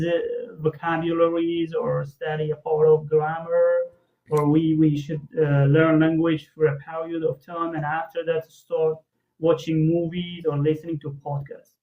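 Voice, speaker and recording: Farsi-native host asking long rambly questions in english, higher voice, muffled audio. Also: male voice. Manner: long, searching questions with fillers. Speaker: interview host.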